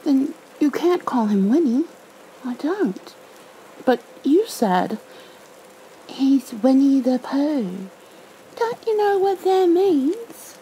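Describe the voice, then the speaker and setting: A woman's voice: high voice, big pitch swings, takes it slowly, pauses often, mellow, slight background noise, voice pushed up, exaggerated voice, low-quality recording. A woman reading a storybook as a sweet, curious young boy who asks earnest, pleading questions. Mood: puzzled. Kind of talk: storybook read-aloud.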